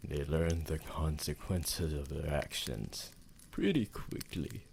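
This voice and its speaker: A man playing an ancient, possessive and refined male vampire lover in an intimate ASMR roleplay, speaking in formal, theatrical phrases with pauses. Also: very deep voice, gravelly, a little mellow, a little hiss or hum, full character voice. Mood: brooding, protective.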